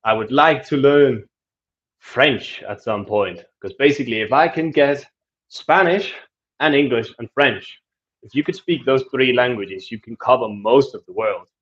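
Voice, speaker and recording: A man talks in an easygoing, chatty way, reading viewer comments aloud and answering them with small restarts. His voice sits at an ordinary middle pitch. The recording sounds a little dull and lo-fi. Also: a little slow, a bit bright. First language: Danish. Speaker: travel vlogger answering viewer questions. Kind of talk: casual live Q&A, switching between English and Spanish.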